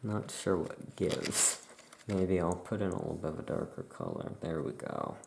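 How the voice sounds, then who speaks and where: A soft-spoken ASMR host musing in a hushed, self-deprecating way while chatting through a craft task. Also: sits low, wildly swooping pitch, moderate pace, creaky, noisy background.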